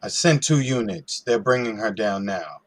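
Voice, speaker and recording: A brash, dismissive police lieutenant, read by a man in an amateur radio play. The voice is lowish and rough-edged, with a crude, cocky swagger. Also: expressive, normal speed.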